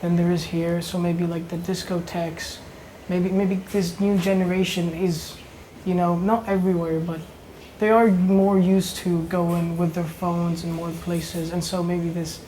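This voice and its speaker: A man's voice: sits high, little pitch movement, measured pace, few pauses, dark tone, a little hiss or hum, low-quality recording. A young man from Italy speaking as a panelist in a casual round-table discussion, hesitant and searching for words.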